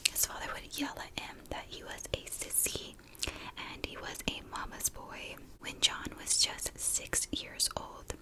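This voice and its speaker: A woman speaking in a soft, breathy whisper, slowly and deliberately telling a story in ASMR style, sometimes softly repeating words. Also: low-quality recording. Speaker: ASMR YouTuber, host.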